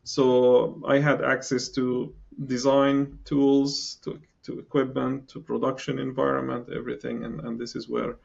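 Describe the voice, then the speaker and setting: A man's voice: mid-range voice, completely flat delivery, a little slow, creaky, hardly any pauses, a little mellow, breathy edge, muffled recording. A middle-aged man from Palestine, a guest in a podcast interview, talking with many fillers and restarts. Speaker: engineering guest.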